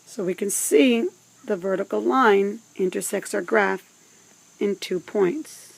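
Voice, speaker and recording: A woman teaching math step by step, speaking in a deliberate, instructive way with pauses between phrases. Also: medium pitch, vocal fry, no echo, low-quality recording. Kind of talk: scripted step-by-step instructional narration.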